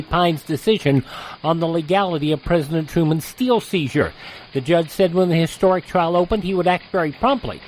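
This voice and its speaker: A man working as a radio host, reading announcements and pitches in a folksy, conversational way. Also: darkish tone, slight background noise, rough recording. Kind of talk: host reads, sponsor pitch and old news headlines.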